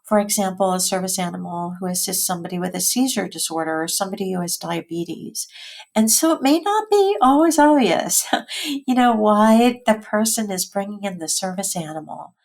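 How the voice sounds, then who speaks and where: A woman with an American accent giving articulate, measured explanations as an expert guest in an interview. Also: medium pitch, lively intonation, gravelly, darkish tone. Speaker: expert guest, physician and health policy researcher.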